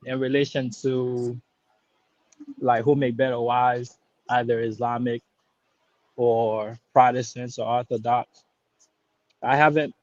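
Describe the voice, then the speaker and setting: A man in his thirties, born in Haiti, speaks casually on a call, pausing and restarting often and loosening into slang as he goes. His voice sits at an ordinary pitch. The sound is dry and slightly muffled, lo-fi. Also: no echo. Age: 30s. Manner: hesitant, many restarts and fillers, laid-back slang. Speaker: caller. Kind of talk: casual live-stream call-in chat.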